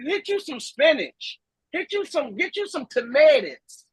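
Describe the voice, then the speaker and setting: A man in his thirties on a video call, talking in a teasing, animated and emphatic way. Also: very high voice, a little slow, dry recording, low-quality recording. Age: 30s. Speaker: meeting participant. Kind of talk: casual chat.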